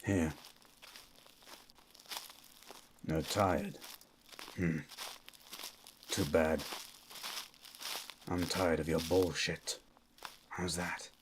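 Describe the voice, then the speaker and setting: A man playing a stern, menacing monster hunter in an ASMR roleplay, with a low, gruff voice and a terse, threatening manner. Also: steady tone, very slow, long pauses, noisy background. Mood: weary, threatening. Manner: terse, threatening, grunts hmm.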